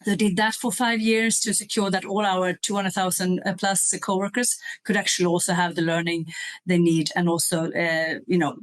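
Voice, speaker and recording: A woman's voice: lowish voice, flat delivery, normal speed. A woman in her fifties, a corporate sustainability executive, talking through her career in an interview with frequent hesitations and fillers. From Sweden. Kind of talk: interview conversation. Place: in Switzerland.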